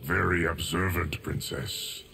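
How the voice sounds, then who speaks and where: Very deep, solemn guardian of time. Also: male voice, steady tone, heavy background noise. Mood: solemn, measured. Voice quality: deep, gravelly. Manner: slow, measured, formal. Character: solemn, ancient, stoic male guardian of time. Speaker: video game character voice. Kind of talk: scripted game dialogue.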